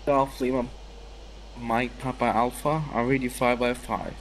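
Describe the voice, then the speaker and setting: A man's voice: medium pitch, level tone, a little slow. A man acting as an air traffic controller, giving clipped, formal radio calls. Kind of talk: radio phraseology.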